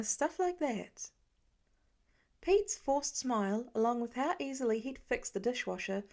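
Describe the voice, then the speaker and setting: A woman reading scripted fiction as an audiobook narrator, in an expressive and careful manner. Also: fairly high pitch.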